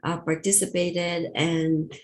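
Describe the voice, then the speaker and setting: Female board trustee, lowish voice, friendly and a bit hesitant in her report. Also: level tone, slow pace, slight background noise. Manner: friendly, slightly hesitant, with restarts. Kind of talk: giving a report in a meeting.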